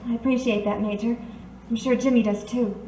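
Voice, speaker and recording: A woman's voice: on the high side, steady tone, fairly quick, dark tone, faint music behind, close to an everyday voice. A woman playing a composed, resigned character in an old-time radio drama. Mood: calm, resigned. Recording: echoey, distant, noisy.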